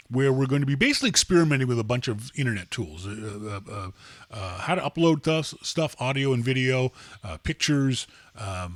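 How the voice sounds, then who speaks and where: A man's voice: mid-range voice, no echo. Rambling joking male podcast host. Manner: rambling, joking, many fillers and restarts. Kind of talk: casual live show chat.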